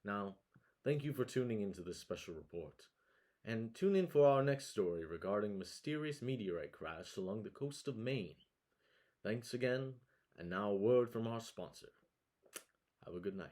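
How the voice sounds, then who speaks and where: Casting: man to play earnest 1950s TV or radio news anchor, lowish voice, grave, formal, slightly halting delivery. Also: expressive, darkish tone, natural voice. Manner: formal and solemn, halting over words. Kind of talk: mock 1950 newscast, scripted with stumbles.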